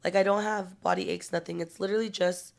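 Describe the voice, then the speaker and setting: Congested young woman with gravelly voice. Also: lowish voice, fairly even intonation, quick pace. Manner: loose, profane, storytelling. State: congested, lost voice. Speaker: podcast co-host. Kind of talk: casual chat.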